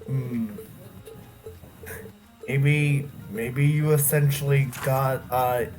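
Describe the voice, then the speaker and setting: A man doing casual co-commentary on a game with improvised role-play, halting as he searches for words. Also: mid-range voice, fairly even intonation, darkish tone, faint music behind. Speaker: video game let's player, co-commentator.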